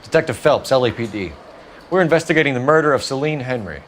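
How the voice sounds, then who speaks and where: A man's voice: medium pitch, expressive, fast talker, a bit bright, slight background noise, close to an everyday voice. Stern, clipped detective played by a man. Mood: businesslike. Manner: formal, probing, businesslike questioning. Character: stern, clipped young male 1940s police detective. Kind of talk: video game voice acting.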